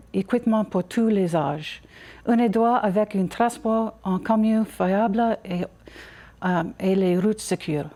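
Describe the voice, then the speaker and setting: A woman with a soft, mellow voice and an English Canadian accent reads a scripted campaign speech. She is a non-native speaker, so the delivery is halting, with small stumbles over the words. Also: medium pitch. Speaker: city council candidate.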